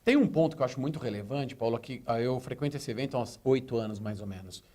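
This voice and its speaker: A man's voice: medium pitch, lively intonation, fast talker, dark tone. Brazilian man explaining retail trends. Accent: Brazilian Portuguese. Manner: fluent, explanatory, tells anecdotes. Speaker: retail consultant guest. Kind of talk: business TV interview.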